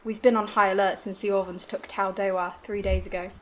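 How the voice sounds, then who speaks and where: Woman as a formal colony administrator, middling pitch. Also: flat delivery, natural voice, rough recording. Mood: gracious, harried. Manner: formal, measured courtesy. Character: formal, harried colony administrator, gracious and weary. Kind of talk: science-fiction audio drama acting.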